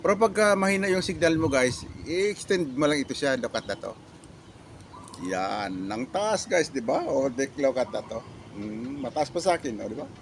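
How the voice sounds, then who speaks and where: A Filipino man giving a casual product demo as a reviewer, talking in a halting, repetitive way with catchphrases. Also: mid-range voice, animated, slight background noise.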